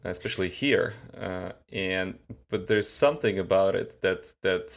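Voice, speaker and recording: Male interviewer, lower voice, chatty and rambling. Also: measured pace. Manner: chatty, thinking aloud with many asides. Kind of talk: casual interview talk.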